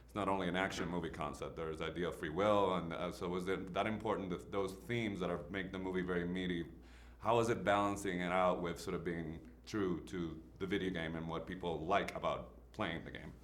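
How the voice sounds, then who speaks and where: Low-voiced male interviewer, native Spanish speaker. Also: fairly even intonation. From Puerto Rico. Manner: halting, reformulates questions. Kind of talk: conversational press questioning. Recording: faint background noise.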